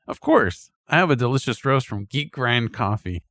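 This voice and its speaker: A man's voice: mid-range voice, animated, moderate pace, a bit bright, no room sound, studio quality. A man doing a scripted, skit-style podcast sponsor read with upbeat salesman patter. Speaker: podcast host reading an ad.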